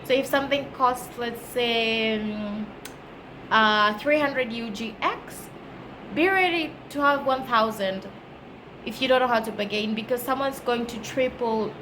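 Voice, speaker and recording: Female travel vlogger, Kenyan accent, fairly high pitch, casual conversational delivery, clear background noise. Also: moderate pace. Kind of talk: casual chat to camera. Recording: clearly noisy background ambience.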